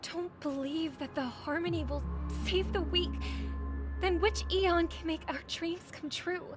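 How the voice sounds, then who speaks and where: A woman's voice: very high-pitched, fairly even intonation, a little slow, over music. A woman voicing an earnest, questioning young girl in a game. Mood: earnest, pleading. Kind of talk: scripted game voice acting.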